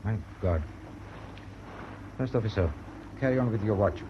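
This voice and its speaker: An actor in a radio drama playing an imperious, impatient German ship's captain, curt, commanding and scornful. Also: male voice, fairly low pitch, normal speed, close to an everyday voice. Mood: shaken, grave. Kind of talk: British radio drama acting. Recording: dull, noisy old radio recording.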